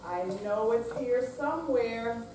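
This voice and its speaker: A woman's voice: on the high side, lively intonation, slow pace. Coaxing, exasperated grown daughter, acted by a woman in a stage reading; very echoey, recorded at a distance. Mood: impatient. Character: adult daughter, insistent, coaxing, caring toward ailing mother. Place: senior center room, live staged reading. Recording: very echoey hall, distant mic, noisy.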